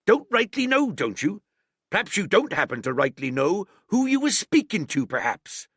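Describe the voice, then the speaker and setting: A man's voice: very animated, measured pace, gravelly, sharp tone, dry recording, clean studio sound, heavily acted. Long John Silver, a man putting on a blustering cook. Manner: blustering, booming sea-dog bluster. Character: hearty old one-legged male pirate cook.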